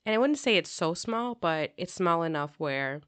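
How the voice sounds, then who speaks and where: A woman's voice: on the low side, animated, moderate pace, a bit bright, clean tone, no echo. A woman hosting a podcast interview, asking questions and sharing her own experience in casual talk. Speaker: podcast host.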